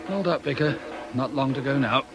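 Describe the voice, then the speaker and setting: Over music, a down-to-earth British police inspector played by a man speaks at middling pitch in a radio drama. His manner is dry, colloquial and sardonic. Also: normal speed, close to an everyday voice. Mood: watchful, sardonic.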